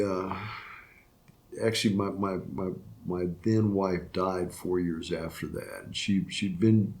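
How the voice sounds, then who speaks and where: An older man, a retiring judge from Florida, answering interview questions reflectively, with frequent restarts and fillers. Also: low-pitched. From Florida, USA. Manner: halting, many restarts and fillers. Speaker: retiring circuit court judge.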